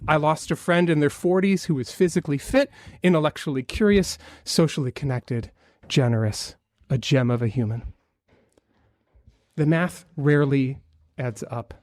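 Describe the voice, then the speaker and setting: A man's voice: on the high side, moderate pace, darkish tone, no room sound. Male minister, conversational sermon, light wry humor, dry pickup. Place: church sanctuary.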